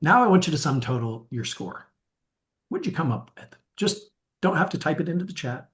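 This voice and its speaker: A man's voice: a little high, very animated, a little fast. A man coaching in a webinar, upbeat, asking viewers to rate themselves. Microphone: webinar mic.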